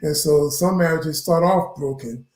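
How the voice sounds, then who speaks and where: A man's voice: on the high side, measured pace, darkish tone. A man giving an earnest, instructive explanation in a conversational way, as a guest educator. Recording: echoey room sound.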